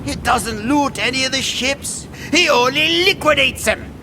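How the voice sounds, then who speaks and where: A man's voice: measured pace, bright, forceful tone, exaggerated voice. An actor playing a bitter, complaining pirate crewman with theatrical pirate talk in an audio drama. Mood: bitter grumbling. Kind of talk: scripted audio drama acting. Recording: rumbling background noise.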